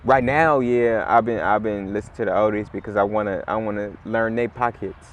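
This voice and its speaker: A man, chatting in a relaxed, rambling way as an interview guest, full of "like" and "you know". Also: on the low side, a little fast. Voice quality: raspy. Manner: laid-back, rambling, heavy on "like" and "you know". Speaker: musician guest. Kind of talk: casual interview chat.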